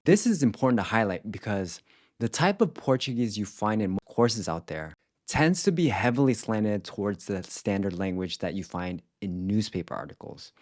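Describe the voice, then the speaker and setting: A man with a fairly low voice narrates a scripted language lesson. He explains points in a clear, instructional way and pronounces example words carefully, like a teacher presenting a video series. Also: animated, normal speed.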